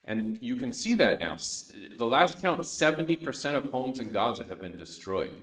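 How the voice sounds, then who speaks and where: A man from San Diego talks through his experiences on a discussion panel. He speaks in a relaxed, unhurried way, full of ums and uhs. His mid-pitched voice echoes in a roomy lecture hall. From San Diego, United States. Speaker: documentary producer. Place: university event room.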